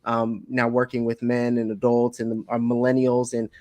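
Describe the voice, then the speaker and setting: A young man who works as a family therapist speaks earnestly and fairly softly. The recording is dry and close. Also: mid-range voice, completely flat delivery, moderate pace, no echo. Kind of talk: casual panel chat.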